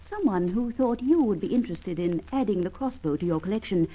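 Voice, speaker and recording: An actress playing a haughty, cool Nordic shop dealer with formal, imperious diction in old-time radio drama style. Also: female voice, medium pitch, lively intonation, brisk, mellow, lo-fi sound, natural voice. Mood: cool, intrigued.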